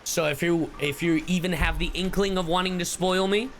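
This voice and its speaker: A man's voice: high voice, fairly even intonation, normal speed, creaky, fairly bright tone, slight background noise. A man streaming casual live reactions to a game, joking and slangy. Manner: joking, slangy, breaks into humming and catchphrases.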